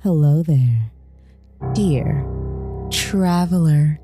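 A woman's voice: low voice, drawn-out words, mellow, soft background music. A woman playing a mysterious, ominously welcoming forest ranger guide in a horror podcast trailer, theatrical and beckoning. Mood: ominous, inviting. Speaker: podcast promo narrator.

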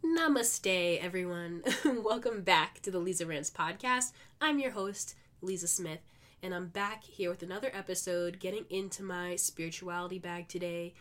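A woman with a somewhat low voice, audibly stuffed up from a cold, hosts a solo podcast. She talks in a chatty, informal, positive way, dropping in plenty of 'like' and 'you know' as if confiding in regular listeners. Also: moderate pace, fairly bright tone. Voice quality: congested, stuffed-up nose. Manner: upbeat, positive. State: sick with a cold. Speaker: podcast host. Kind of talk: casual solo podcast monologue.

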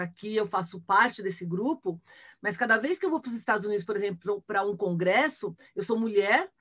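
A middle-aged woman from Brazil with a Brazilian accent, answering conversationally in an interview with explanations and personal anecdotes, often checking for agreement. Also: medium pitch. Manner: explanatory, frequent tag questions seeking agreement. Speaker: interview guest, engineer and tech ambassador.

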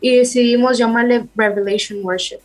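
Young woman, halting speech. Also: a little high, fairly even intonation. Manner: halting, searching for words, apologizes for her Spanish. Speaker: singer and bassist of a worship band. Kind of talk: interview guest.

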